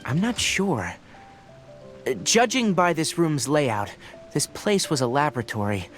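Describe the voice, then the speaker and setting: Serious young ranger scholar, expressive pitch. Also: male voice. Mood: focused, calm. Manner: measured, precise, explanatory. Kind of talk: English video game voice acting. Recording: game music behind the voice. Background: video game music.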